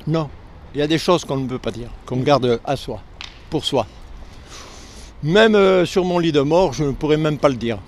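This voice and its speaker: An older man with a southern French accent from Lozère, a retired air force serviceman speaking earnestly in a street interview, halting when the subject turns painful. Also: fairly high pitch, a little hiss or hum. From Lozère, southern France. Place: outdoors on a city street.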